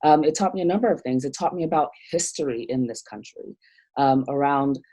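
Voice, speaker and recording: Low-voiced, thoughtful woman guest. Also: brisk, warm tone, husky, poor audio. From Nigeria. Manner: thoughtful, hesitant, many fillers and restarts. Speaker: theologian guest. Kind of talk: reflective interview conversation.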